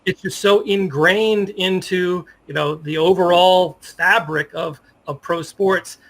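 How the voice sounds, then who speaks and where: Bright, high-pitched middle-aged American man. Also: fairly even intonation, slow. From United States. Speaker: interview guest, sports journalist. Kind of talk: casual interview conversation, reminiscing.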